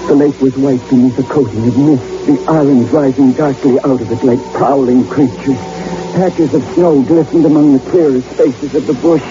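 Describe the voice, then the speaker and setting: A man's voice: medium pitch, steady tone, moderate pace, music in the background, lightly acted. An actor playing a frightened, insistent man who narrates his wilderness ordeal in old-time radio horror style, dramatic and urgent. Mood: haunted, grave. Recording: noisy, dull old radio recording.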